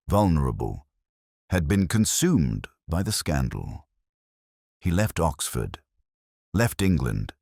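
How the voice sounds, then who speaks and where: Very deep-voiced man narrating a mystery. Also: no room sound, clean studio sound. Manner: measured, solemn, literary delivery. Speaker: audio drama narrator. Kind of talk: scripted first-person mystery story narration.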